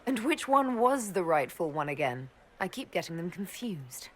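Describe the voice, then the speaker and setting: A woman's voice: on the low side, very animated, normal speed. An actress playing a wry, self-assured noblewoman mage, the dry-humoured heroine of a fantasy video game. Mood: playful, teasing. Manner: sardonic, dry.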